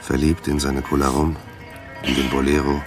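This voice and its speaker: A male narrator of a detective radio drama. His voice is exceptionally deep, and his delivery is sombre and matter-of-fact, with deliberate phrasing. A guitar plays faintly underneath. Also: level tone. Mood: languid.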